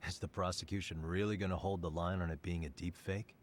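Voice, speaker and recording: A man's voice: low-pitched, steady tone, quick pace, a little hiss or hum, lightly acted. An actor voicing a blunt, sardonic male detective and lawyer in a video game, with dry, matter-of-fact delivery.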